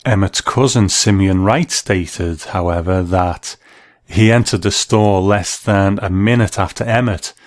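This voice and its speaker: A man's voice: low voice, a little slow, dry recording, clean studio sound. A man hosting and narrating a scripted documentary in storytelling form. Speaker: host narrator.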